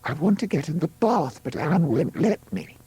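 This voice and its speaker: A man's voice: a little high, animated, normal speed, husky, voice pushed up. A man voicing a plucky, frank young woman in labour in an audiobook story, exclaiming and chatty. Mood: frank, playful. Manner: exclaiming, chatty between yells.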